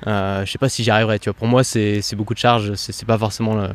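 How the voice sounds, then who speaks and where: A man hosting a casual conversational interview, explaining investing ideas quickly with frequent fillers and restarts. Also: fairly low pitch, level tone. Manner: fast, explanatory, peppered with fillers. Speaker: podcast host.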